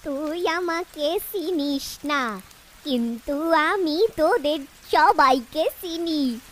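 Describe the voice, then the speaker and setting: Shrill, slow, vengeful old ghost woman. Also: a little hiss or hum. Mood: eerie, accusing. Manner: drawn-out, menacing delivery. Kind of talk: Bengali animated ghost story voice acting.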